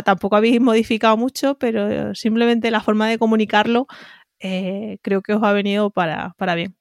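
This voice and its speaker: A woman's voice: mid-range voice, no echo, studio quality. A young woman from Spain with a southern Spanish accent, a guest chatting casually in an interview, laughing easily and using many fillers. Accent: southern Spanish, between Extremadura and Córdoba. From Spain, near Extremadura and Córdoba. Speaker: guest, newsletter and content marketer.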